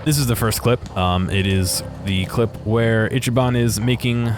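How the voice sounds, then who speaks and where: A man giving casual, unscripted commentary over game footage in a hesitant, halting way. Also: medium pitch, steady tone, background noise. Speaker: video creator narrating game footage.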